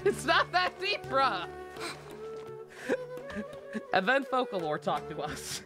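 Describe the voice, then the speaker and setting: A woman's voice: on the high side, huge pitch swings, slow pace. A woman game streamer giving casual, sweary live commentary in a sarcastic, ranting manner. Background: game music.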